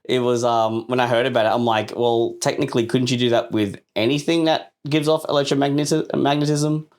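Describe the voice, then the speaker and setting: A man's voice: mid-range voice, steady tone, a little fast. A man co-hosting a casual tech podcast, bantering and riffing in a jokey, playful way. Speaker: podcast co-host.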